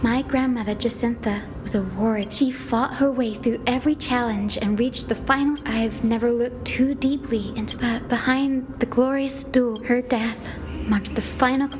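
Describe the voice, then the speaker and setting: A woman voicing a gentle, optimistic young healer heroine in a story game, earnest and tender. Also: fairly high pitch, steady tone, moderate pace, noisy background, close to an everyday voice. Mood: gentle, thoughtful. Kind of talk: voiced game story dialogue. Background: music.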